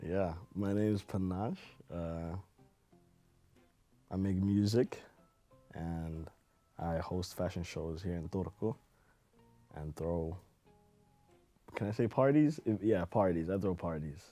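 Deep-voiced laid-back young man. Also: warm tone, soft background music. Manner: slow, laid-back slang. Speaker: guest. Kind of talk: casual interview chat. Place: small room with curtains.

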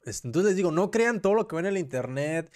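A man's voice: high voice, expressive, fast talker, darkish tone. A young Mexican man giving casual livestream commentary in an informal, slangy, rambling way, correcting himself as he goes, close on a desk mic at home. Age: young adult. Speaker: commentary streamer and host. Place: small room at home. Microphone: close desk microphone on a boom arm.

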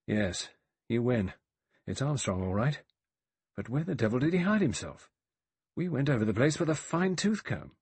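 A man's voice: mid-range voice, sing-song, moderate pace, pauses often, darkish tone, husky, no echo, voice pushed up, heavily acted. A man voicing a cool, sardonic, shrewd male adventurer in an audiobook, with an easy, mocking manner. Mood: reasoning.

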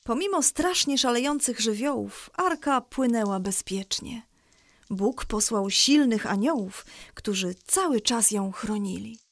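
A woman's voice: fairly high pitch, lively intonation, a little slow. A woman playing a storyteller who narrates a Bible tale dramatically for children. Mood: solemn storytelling.

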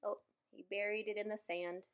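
A woman reading a children's picture book aloud, adding playful, expressive asides for young listeners. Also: mid-range voice, normal speed, slight background noise. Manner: playful, expressive, simple child-directed delivery with exclamations. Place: indoors in a room at home.

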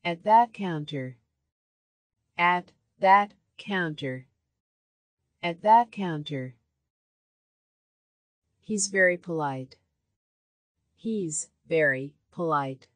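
Slow, lowish woman drilling phrases. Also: lively intonation, dry recording. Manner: slow, careful, clearly enunciated repetition. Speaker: language lesson voice. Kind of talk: repeated phrase drills for learners.

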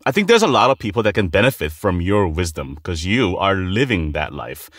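Animated, low-pitched man hosting an interview. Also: brisk, no echo, clean studio sound. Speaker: podcast host. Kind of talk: conversational interviewing.

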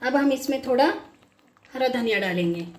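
Female home cook, high voice, simple step-by-step recipe narration, echoey kitchen. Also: lively intonation, normal speed. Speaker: home cook, cooking show host. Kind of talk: casual recipe demonstration. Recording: echoey room.

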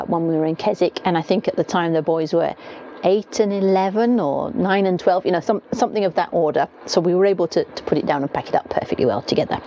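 A woman in her forties talks casually to camera from inside a small caravan. Her voice is low and warm, and she rambles, often stopping to correct herself. The sound is a little dull and lo-fi, with the faint patter of rain on the roof. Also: a little hiss or hum. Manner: chatty, rambling, self-correcting. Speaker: caravanning vlogger. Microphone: camera across the table. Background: rain clattering on the roof.

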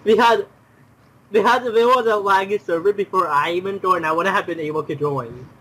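A man's voice: sits high, fairly quick, fairly bright tone, slight background noise, rough recording. A young man chatting casually during an online game, often repeating himself and exclaiming. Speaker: gamer.

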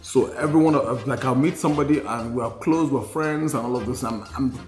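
A Nigerian-accented man in his forties shares candid personal memories at a mid-range pitch. He speaks reflectively and repeats phrases to stress them. The room is audible around his voice, with faint music underneath. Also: a little fast, darkish tone. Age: 40s. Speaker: podcast co-host. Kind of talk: candid personal storytelling in a podcast conversation. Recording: roomy sound with faint music.

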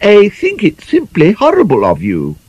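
A man's voice: high-pitched, wildly swooping pitch, normal speed, a little mellow, slightly rough, lo-fi sound, voice pushed up, full character voice. A man voicing a prim young woman of twenty-four who protests indignantly at her friend's gossip.

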